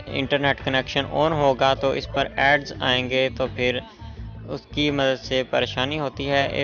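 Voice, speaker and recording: A man with a creaky voice at an average pitch presents a casual app tutorial, step by step. The recording is dull and lo-fi. Music plays faintly behind him. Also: fairly bright tone. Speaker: tech tutorial host. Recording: muffled lo-fi voice with background music.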